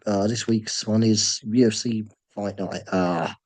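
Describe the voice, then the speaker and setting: A man with a sore throat hosting an online draw, talking in a halting, low-key way with many ums and pauses. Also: steady tone, a little mellow, no room sound. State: sore throat, voice nearly gone. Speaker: host running a fantasy draw. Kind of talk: casual screen-share commentary.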